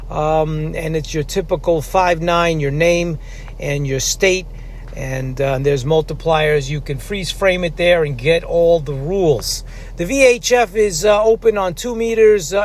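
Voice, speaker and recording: A man hosting a hobby radio channel, talking casually and haltingly as he explains things in an informal vlog style. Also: fairly high pitch. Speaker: host of a ham radio channel. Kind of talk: casual vlog talk about radio operating. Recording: clear background noise.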